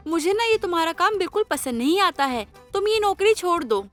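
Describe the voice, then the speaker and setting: Woman acting an expressive high-voiced young wife. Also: brisk, faint music behind, heavily acted. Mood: complaining. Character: outspoken, feisty young wife quick to complain. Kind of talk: Hindi moral-story audio drama acting.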